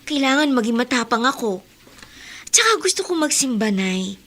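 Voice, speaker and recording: A hopeful young daughter, high voice. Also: female voice, very animated, normal speed, a bit bright. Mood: eager. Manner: eager, polite questioning. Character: hopeful young woman learning to walk again. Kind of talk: radio drama acting. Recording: noisy recording.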